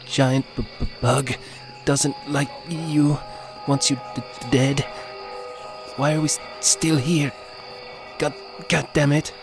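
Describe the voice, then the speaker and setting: A man's voice: fairly high pitch, steady tone, slow, music in the background, voice pushed up. A man voicing a frightened, foul-mouthed young Texan woman in an audio story, stammering and sarcastic as she shivers. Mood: exasperated, scared.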